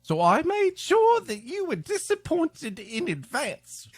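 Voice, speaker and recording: A man's voice: very high voice, wildly swooping pitch, slow pace, soft background music, pitched above the performer's own voice, heavily acted. A man voicing a self-satisfied, mock-cheerful aunt in a comedy reading.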